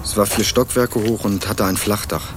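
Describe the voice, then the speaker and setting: A man's voice: on the low side, fairly even intonation, moderate pace, slight background noise, natural voice. An actor playing a laconic, guarded young male student from Berlin in a matter-of-fact radio crime drama. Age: young adult. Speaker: narrator and lead actor. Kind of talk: first-person radio crime drama narration and dialogue.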